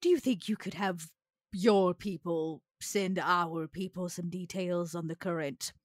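A woman's voice: on the low side, steady tone, a little slow, no echo, lightly acted. A woman playing a poised, courteous vampire in a roleplay game, choosing her words formally and carefully. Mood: polite, composed. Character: poised, courteous vampire, a weary retired enforcer. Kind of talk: tabletop roleplay acting.

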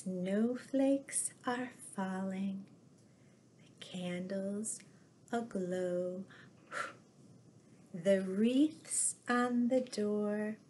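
A middle-aged woman reading children's picture books aloud as a storyteller, in an expressive, measured way. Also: medium pitch, slight background noise. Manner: slow, expressive, measured read-aloud delivery. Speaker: storyteller in costume as Mrs. Claus. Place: living room with fireplace, indoors.

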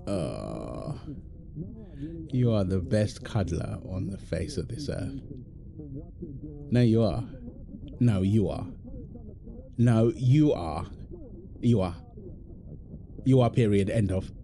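A man's voice: lowish voice, big pitch swings, slow pace, gravelly, pauses often, background noise, full character voice. A British man playing an affectionate, playful husband in an intimate, naturalistic audio roleplay, talking casually and teasingly in short repeated phrases. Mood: tender, teasing. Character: affectionate, playful, apologetic husband, gamer with his mates.

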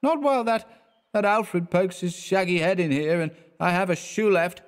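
A man doing a high, maudlin drunk young man. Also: lightly acted. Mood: maudlin tipsy. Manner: slurred, halting, repeating words. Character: drunk young male artist, maudlin and rambling.

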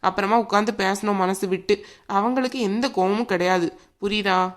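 A gentle, peacemaking grandmother in a family drama, acted by a woman, with a medium-pitched voice. She speaks in a homely, colloquial and soothing manner. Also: brisk, lightly acted. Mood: confiding, placating. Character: gentle, peacemaking grandmother, mother of a grown daughter.

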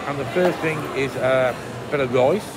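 A man in his fifties eating and reviewing food court dishes into a phone at arm's length, chatty and relaxed, pausing to murmur while he tastes. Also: mid-range voice, background noise. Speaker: food vlogger. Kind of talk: casual food review vlog. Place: indoor shopping mall food court under a glass roof. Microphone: phone held at arm's length, facing up. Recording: loud mall ambience behind the voice.

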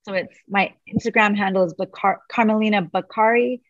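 A woman speaking quickly and with animation as a podcast guest, chatting in a remote interview. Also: mid-range voice, no echo, muffled recording. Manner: fast, animated talker. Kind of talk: casual conversation.

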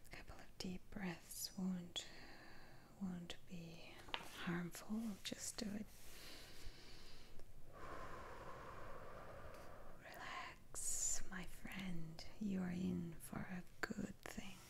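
A woman playing a soothing, eccentric witch doctor in a whispered ASMR roleplay, speaking in a hushed, halting way. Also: lowish voice, little pitch movement, vocal fry. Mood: soothing, intent. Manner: slow, hushed, halting delivery with sighs.

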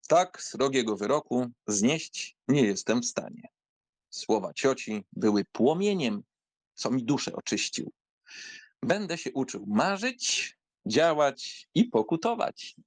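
An actor playing a theatrical, self-pitying young count and rake in an audiobook drama, florid and mock-penitent. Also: male voice, mid-range voice, no echo. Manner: florid, melodramatic.

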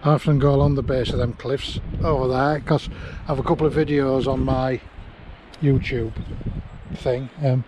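Middle-aged guy vlogging on a bike ride, chatty, laughs a lot, mid pitch, wind noise on the cam mic. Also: fairly even intonation, moderate pace. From England. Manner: chatty, rambling, chuckles often. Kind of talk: casual vlog narration while riding. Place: outdoors on windy cliff tops. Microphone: action camera on handlebars and held near face.

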